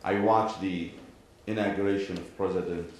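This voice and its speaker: A man with an Iraqi Arabic accent, an imam giving a formal public address in deliberate, measured phrases. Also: low voice, steady tone. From Iraq and Kuwait. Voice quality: frequent throat clearing. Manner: deliberate, measured phrasing with pauses and repeated parallel sentences. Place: large indoor venue, speaking to a gathering. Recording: very echoey hall, faint background noise.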